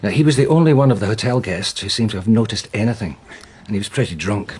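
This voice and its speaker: A man acting in a radio drama as a weary provincial police detective, matter-of-fact and resigned, with a husky, rough voice. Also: fairly low pitch, animated, fast talker, a little mellow. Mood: dry, reporting.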